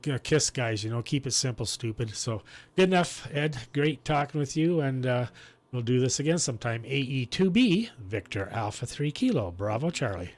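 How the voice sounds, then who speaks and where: A man hosting a live-streamed amateur radio session, chatting casually and pausing mid-sentence, speaking into a close broadcast microphone. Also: medium pitch, lively intonation.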